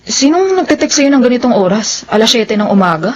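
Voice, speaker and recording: A woman's voice: on the high side, big pitch swings, a little fast. Audio soap drama: actress as stressed, suspicious female sweetheart, probing and insistent; slightly noisy, lo-fi sound. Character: stressed, suspicious woman questioning her sweetheart.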